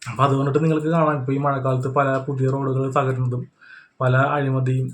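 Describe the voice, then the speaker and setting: A man's voice: medium pitch, little pitch movement, quick pace, a little hiss or hum. A man giving unscripted opinion commentary in a repetitive, explanatory way, often checking in with the listener. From India. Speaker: YouTube reaction commentator.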